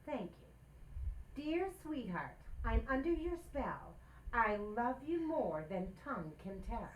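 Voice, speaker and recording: Lady doing a ditzy playful radio sitcom wife, high voice, unhurried, roomy sound. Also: big pitch swings. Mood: coy recital. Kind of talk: old-time radio comedy acting.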